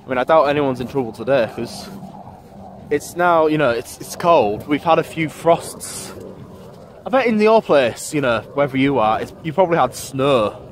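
A man's voice: mid-range voice, lively intonation, moderate pace. Rambling young man. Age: 20s. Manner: rambling, many restarts and self-corrections. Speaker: vlogger. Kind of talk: rambling casual vlog. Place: outdoors on a windy grassy embankment. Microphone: phone held at arm's length. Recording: phone audio with loud wind noise.

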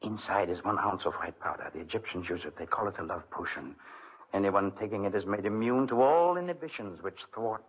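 An actor playing a sly, manipulative older guardian uncle in an insinuating, coaxing 1940s radio drama style. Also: male voice, lowish voice, brisk, husky. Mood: sly persuasion. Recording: muffled vintage 1940s radio recording.

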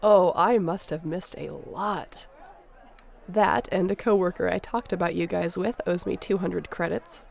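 A woman playing a wry, teasing boss of space smugglers in an audio roleplay, with a dry manner and a creaky voice. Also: on the low side, a little slow, a faint crowd. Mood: smug, teasing.

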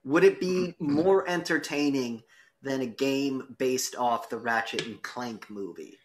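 A man with a middle-pitched voice moderates a podcast debate. He speaks casually, as if chatting with friends while putting questions to them. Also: a little slow, poor audio. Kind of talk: casual chat, posing questions.

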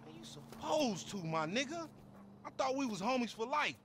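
An actor playing a brash, sarcastic young American street gangster in a video game, taunting and slangy with mock politeness. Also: male voice, very high-pitched, sing-song, moderate pace, a bit bright, exaggerated voice. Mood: sarcastic, aggrieved. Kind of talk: video game cutscene acting. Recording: game street ambience, traffic noise behind.

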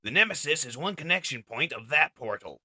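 A man as a sharp-voiced robot scientist. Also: on the high side, big pitch swings, fairly quick, breathy edge, no room sound, heavily acted. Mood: analytical. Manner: explanatory, matter-of-fact. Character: analytical male robot scientist, pragmatic. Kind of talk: comic dub acting.

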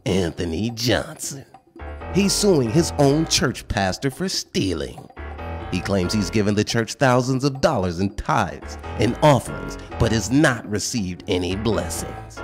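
Court TV parody announcer, acted by a man, low, animated, solemn mockery over music.

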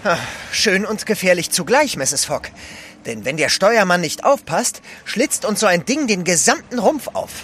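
An actor playing a loyal, excitable manservant aboard a sailing ship in a German audio drama. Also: male voice, sits high, moderate pace. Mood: wry. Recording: noisy storm and crew sounds behind.

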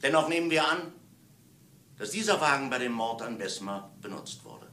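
Stern, forceful police inspector. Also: male voice, mid-range voice, lively intonation. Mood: explaining firmly. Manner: emphatic, deliberate, accusatory. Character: stern, methodical male police inspector unmasking a killer. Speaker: actor in a radio crime play. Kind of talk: German radio crime drama acting.

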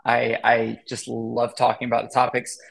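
A man's voice: mid-range voice, monotone, moderate pace, creaky. A man in his thirties, a marketing educator, talking casually on a video call with lots of fillers and restarts. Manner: rambling, many fillers and restarts. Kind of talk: casual interview chat.

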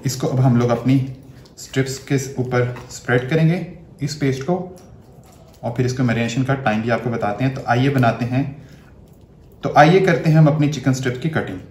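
A man's voice: mid-range voice, normal speed, darkish tone. Man explaining a recipe step by step. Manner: step-by-step instructive, speaks while working with hands. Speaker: chef. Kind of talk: cooking demonstration. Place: home kitchen, indoors. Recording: echoey kitchen, faint background noise.

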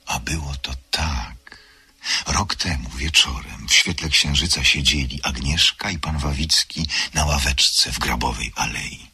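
Male radio drama narrator with a very deep but bright voice and a measured, literary delivery. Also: husky.

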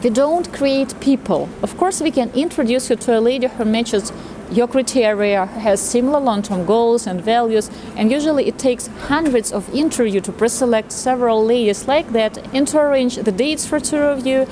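A woman in her thirties talking to camera outdoors in a city street, explaining her matchmaking work in an animated way and acting out remarks. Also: on the high side, moderate pace, background noise. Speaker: matchmaker presenting a video channel.

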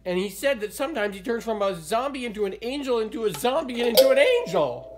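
Middle-aged male puppeteer, playful storytelling for kids, loud music mixed in. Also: extremely high pitch, very animated, fast. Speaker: puppet show performer. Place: indoors beside a small puppet theater.

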